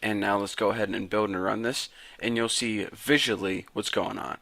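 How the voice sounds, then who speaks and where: A raspy-voiced young man casually teaching a programming lesson to viewers. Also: lowish voice, steady tone, normal speed, sharp tone, dry recording. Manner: casual, chatty, talks to viewers as guys. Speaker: programming tutor. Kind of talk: casual screen-recorded coding tutorial.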